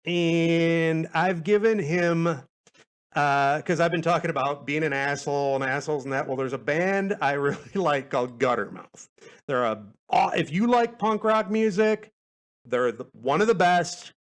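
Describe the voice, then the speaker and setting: A man with a fairly high-pitched voice hosts a podcast. He chats loosely and casually, wandering from thought to thought. His manner is self-deprecating and peppered with swearing. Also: unhurried, clear, resonant tone. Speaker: podcast host. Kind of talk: rambling casual chat.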